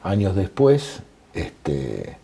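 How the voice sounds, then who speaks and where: A man's voice: low voice, huge pitch swings, very slow, creaky, dark tone, breathy edge. A middle-aged man with a Buenos Aires accent, an interview guest who explains things step by step. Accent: Buenos Aires Spanish.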